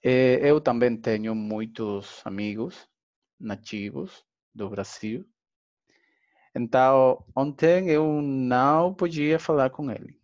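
Slow, hesitant man. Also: mid-range voice, a little mellow, dull audio. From Dominican Republic. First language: Spanish. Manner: careful, hesitant learner speech with fillers. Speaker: language student. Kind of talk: interview answers.

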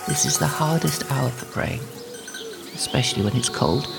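An actress playing an old, devout, lonely but feisty medieval anchoress in an audio drama, creaky-voiced and muttering to herself. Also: female voice, very deep voice, expressive, moderate pace, music in the background, full character voice. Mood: weary, doubting. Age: older. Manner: talking to herself, muttering and exclaiming.

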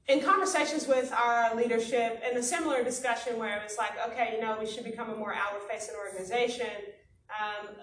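A woman's voice: a little high, fairly bright tone. American woman speaking in an echoey room. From Chicago, United States. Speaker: panel guest, company employee and founder. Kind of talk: conversational panel interview answers. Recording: roomy echo, distant mic, noticeable background noise.

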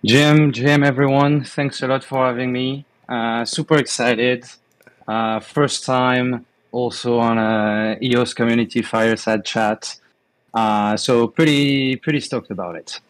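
A man's voice: medium pitch, measured pace, no room sound. French-accented man, dry close sound. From France. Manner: many fillers, relaxed and upbeat. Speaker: guest. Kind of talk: casual podcast chat.

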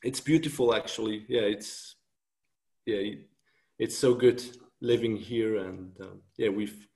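Creaky-voiced Swiss man in a roomy space. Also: fairly low pitch, a little slow, darkish tone. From Switzerland. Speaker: interview guest, author. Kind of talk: casual conversational interview. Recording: roomy, echoing call audio.

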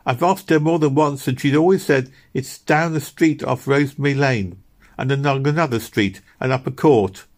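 A man's voice: medium pitch, moderate pace, pitched above the performer's own voice, natural voice. A man voicing a kindly, motherly older woman with a raised, homely, bustling way of talking. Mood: fretful.

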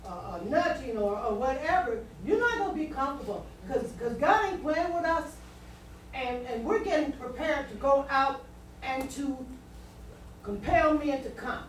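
A woman's voice: high-pitched, animated, moderate pace. A woman evangelist urging a congregation earnestly, often repeating her words as she speaks. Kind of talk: exhortation at a church service. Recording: distant, echoey, noisy church room.

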